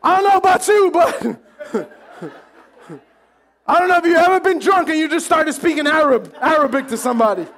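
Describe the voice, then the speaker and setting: Man preaching, very high voice, animated, joking, acting out crowd voices. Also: normal speed, long pauses. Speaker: pastor. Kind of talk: sermon.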